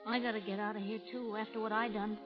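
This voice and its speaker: A brash frontier woman, played by a radio actress, tough but lovesick. Her talk is rough, folksy and scolding, at a medium pitch. The vintage recording is muffled, with music. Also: little pitch movement, fast talker, lightly acted. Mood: rueful. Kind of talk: old-time radio drama acting.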